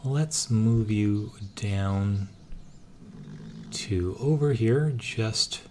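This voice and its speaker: Hoarse man talking through a strategy game. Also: fairly low pitch, very slow, darkish tone, a little hiss or hum. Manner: easygoing, thinking aloud with many "uh"s. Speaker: let's-play gamer. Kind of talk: casual strategy game commentary.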